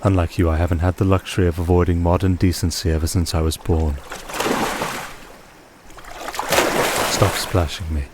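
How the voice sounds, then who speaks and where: A man playing a commanding, composed vampire archduke in a fantasy audio roleplay, speaking in a formal, measured, dryly teasing way. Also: sits low, little pitch movement, background noise, close to an everyday voice. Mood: dry, exasperated.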